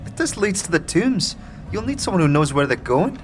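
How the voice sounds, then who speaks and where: Casting: male performer as a guarded tiefling street girl, slangy and animated, fantasy audio drama, clear background noise. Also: sits high, brisk. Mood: wry, matter-of-fact. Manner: colloquial street cant, clipped. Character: wary, streetwise young tiefling woman with a tail.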